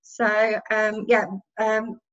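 A woman's voice: a little high, monotone, slow. Woman, 30s, hesitant host, many fillers, muffled lo-fi webcam sound. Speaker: wine tasting host. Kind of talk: casual hosting. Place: home room. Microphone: laptop webcam video call. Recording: dull lo-fi call audio.

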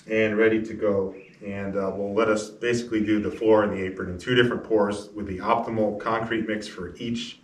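Low-voiced man, recorded at a distance. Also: breathy edge. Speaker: host of a DIY building project, explaining. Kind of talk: casual explanatory talk. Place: pole barn interior and outdoors beside it. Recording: distant mic with faint ambient noise.

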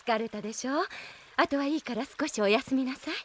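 High-voiced, gentle, refined mother. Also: fairly quick. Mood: tender. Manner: graceful, polite, tender. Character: gentle, refined young mother, affectionately teasing. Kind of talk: Japanese fantasy audio drama acting.

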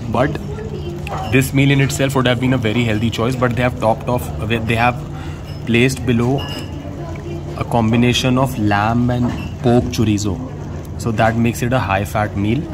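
A man's voice: medium pitch, flat delivery, normal speed, music in the background. A man hosting a food vlog, explaining the calories of dishes plainly as he films them in a restaurant. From India. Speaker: food vlogger. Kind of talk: casual food review narration. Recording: restaurant background noise.

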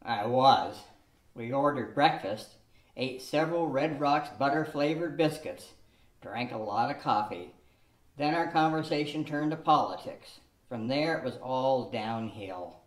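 A man's voice: mid-range voice, lively intonation, unhurried, lightly acted. An older man playing Elmer, an earnest, rueful husband, in an amateur radio play, reading plainly and wistfully into a stand mic. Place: small studio with a draped backdrop. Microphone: stand mic at chest height. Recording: echoey room.

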